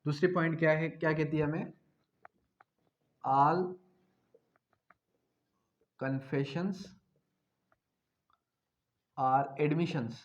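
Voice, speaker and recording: Male lecturer, Pakistani accent, fairly high voice, very measured didactic delivery with repeated phrases, muffled lo-fi recording. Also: steady tone. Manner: didactic, repetitive, posing questions and answering them. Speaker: law lecturer. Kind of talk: explanatory lecture with slow dictation of definitions.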